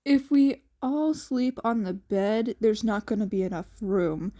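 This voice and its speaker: A woman's voice: medium pitch, measured pace, mellow, close to an everyday voice. A woman voicing a teasing, dramatic young man, a sardonic boyfriend in a fan fiction story.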